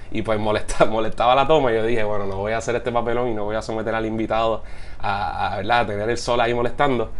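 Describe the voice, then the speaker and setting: A Puerto Rican man in his thirties hosting a casual interview, rambling with fillers and restarts. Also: mid-range voice. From Puerto Rico. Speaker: podcast host. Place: indoors on a sofa in Barcelona.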